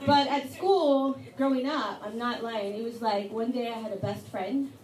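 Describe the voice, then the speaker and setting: A young woman from Texas doing a stand-up set at a pub comedy night, talking fast and chatty with self-mocking asides into a handheld mic. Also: on the high side, a little mellow, slight background noise. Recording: roomy audience recording from a distance.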